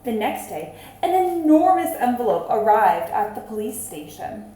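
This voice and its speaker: A woman reading aloud expressively to children like a teacher, deliberate and addressing them directly. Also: on the high side, very animated, dark tone. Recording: roomy, echoing sound.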